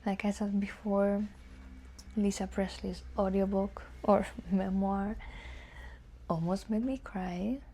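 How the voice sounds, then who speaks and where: A woman's voice: medium pitch, fairly even intonation, takes it slowly, warm tone, husky. A woman book vlogger chatting casually and informally to camera, musing aloud with some hesitation. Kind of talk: casual chat to camera, answering tag questions.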